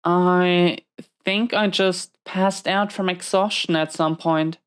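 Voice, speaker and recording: A low, smooth-voiced female villain behind bars, acted by a woman, speaking slowly in an intimate audio roleplay. She is wounded and weary, confiding in halting, reflective phrases. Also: fairly even intonation. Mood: pained recollection. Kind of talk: slow intimate audio roleplay monologue to a listener.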